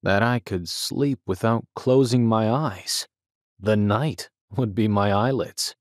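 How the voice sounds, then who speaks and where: A man acting a self-possessed, reflective big-game hunter in an audio drama, musing and relaxed. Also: fairly low pitch, slow, dry recording, clean studio sound. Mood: drowsy musing.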